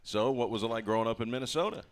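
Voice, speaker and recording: A man hosting a coaches' show, chatting and firing quick follow-up questions at a player. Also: mid-range voice, expressive. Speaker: radio show host.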